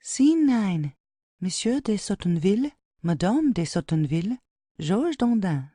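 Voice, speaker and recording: Mellow, animated woman narrator. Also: medium pitch, unhurried, dry recording, clean studio sound. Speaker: stage directions narrator. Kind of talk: scripted play narration.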